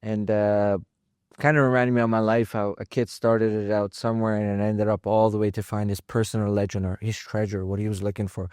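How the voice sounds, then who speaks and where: A man in his twenties from Afghanistan, a fighter guesting in a studio, speaking calmly and reflectively with frequent pauses and fillers. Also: fairly low pitch, clean tone, no echo. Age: 20s. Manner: calm, reflective, halting with many fillers. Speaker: mixed martial arts fighter, guest. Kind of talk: casual interview talk. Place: podcast studio.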